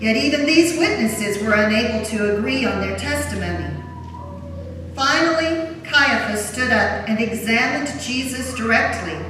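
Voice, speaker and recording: A woman with a slightly high voice gives solemn, measured scripture narration. She is heard at a distance in a very echoey church, with clear background noise. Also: lively intonation. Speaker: narrator of a Passion Gospel reading. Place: large church during a service.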